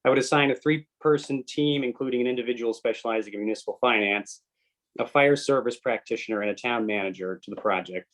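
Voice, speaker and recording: A man's voice: medium pitch, fast talker, a bit bright, poor audio. A man on a committee, talking in loose, rambling asides and reading an email aloud at a meeting. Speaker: committee member. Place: public meeting room.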